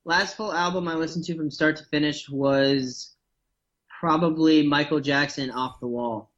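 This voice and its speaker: A man's voice: fairly high pitch, steady tone, moderate pace, a bit bright, lo-fi sound. A man from North America, a guest comedian, chatting casually and rambling with many fillers. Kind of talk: casual podcast chat.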